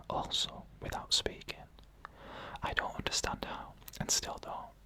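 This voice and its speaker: Hushed, bright whispering ASMR narrator. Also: rough recording. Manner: slow, hushed, deliberate, short pauses between phrases. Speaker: narrator reading first-person stories.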